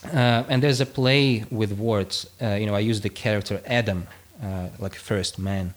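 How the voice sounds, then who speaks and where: A man's voice: lowish voice, fairly even intonation, normal speed. A man whose first language is Russian, a guest philosopher explaining his ideas with many fillers and restarts, and reading his own passage aloud.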